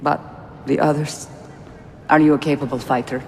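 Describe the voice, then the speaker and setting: An actor playing a wary, determined rebel fighter in a game cutscene, blunt and urgent. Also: male voice, a little high, little pitch movement, normal speed, vocal fry, slight background noise. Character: wary, hot-headed male rebel fighter, gruffly determined. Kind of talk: video game cutscene acting. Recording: long echo, music behind.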